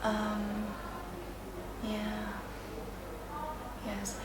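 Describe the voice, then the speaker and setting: Slow-speaking Japanese-accented woman, roomy noisy recording. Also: medium pitch, completely flat delivery. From Sendai area, Japan. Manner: hesitant, searching for words, with frequent pauses. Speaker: interviewee, yoga and Japanese teacher. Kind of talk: reflective unscripted interview answers. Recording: roomy echo, clear background noise.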